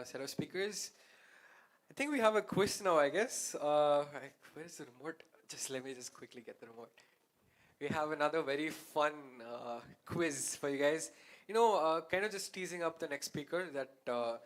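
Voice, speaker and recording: A man's voice: on the high side, fairly bright tone. A man with an Indian accent hosting a live event and quiz in an informal, somewhat hesitant way. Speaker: event host running a quiz. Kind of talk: casual live stage hosting.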